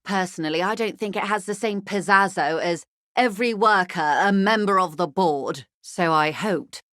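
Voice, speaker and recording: An actress playing a shrewd, genteel corporate negotiator in a video game, speaking in a refined, articulate and dryly understated manner. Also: female voice, mid-range voice, normal speed, a bit bright, no room sound, studio quality, close to an everyday voice. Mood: dry, composed. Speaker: video game character voice actor. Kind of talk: video game dialogue, measured conversational delivery.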